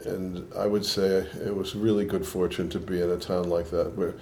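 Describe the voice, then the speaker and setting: A man's voice: deep voice, little pitch movement, normal speed, warm tone, slight background noise. An older man from Boston with a mixed Boston and New York accent, a scientist recalling his life in an interview, thoughtful with frequent restarts. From Boston, USA. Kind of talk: reflective interview answers.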